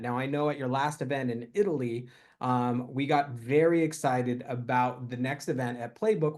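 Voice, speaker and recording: A man's voice: mid-range voice, a little mellow. A man leading a motivational team call over a video link, upbeat and emphatic, hammering home his main points. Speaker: company leader on a team call.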